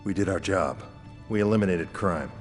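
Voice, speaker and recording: An actor playing a cold, loyal, threatening superhero enforcer in a video game, speaking curtly. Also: male voice, low-pitched, moderate pace, natural voice. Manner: curt, cold. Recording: loud music over the voice.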